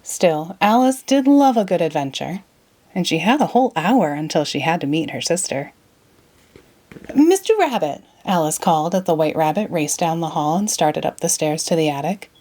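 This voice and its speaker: A woman narrating a children's storybook as a read-aloud, in an expressive way. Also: deep voice, moderate pace.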